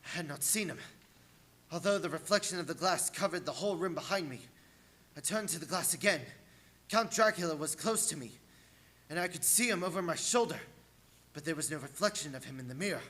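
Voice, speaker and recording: An actor playing an anxious English gentleman held captive, narrating his diary with earnest, suspenseful theatricality in a radio play. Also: a little high, animated, a little fast. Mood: mounting dread. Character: Jonathan Harker, anxious English gentleman, dread-filled captive diarist. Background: music.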